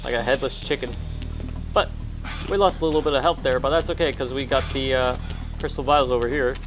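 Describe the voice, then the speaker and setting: A man's voice: mid-range voice, fairly even intonation, a little fast, poor audio. A man giving casual gameplay commentary as he walks viewers through a video game. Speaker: gamer giving a walkthrough. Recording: game music behind the voice.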